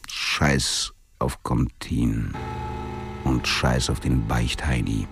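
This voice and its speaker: An actor playing a bitter, dying convict in a radio drama, coarse and weary, with a gravelly voice. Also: male voice, fairly even intonation, very slow, music in the background, heavily acted. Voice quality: very deep, gravelly.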